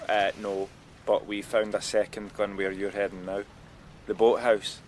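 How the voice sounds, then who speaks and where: An actor playing a matter-of-fact police detective inspector in a radio crime drama. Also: male voice, lowish voice, level tone, unhurried, slight background noise, close to an everyday voice. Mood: businesslike, grim.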